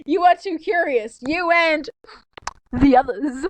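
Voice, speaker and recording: A woman's voice: high-pitched, takes it slowly, rough recording. A woman voicing an angry, intimidating, secretive male wolf alpha from an animal fan story. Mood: menacing.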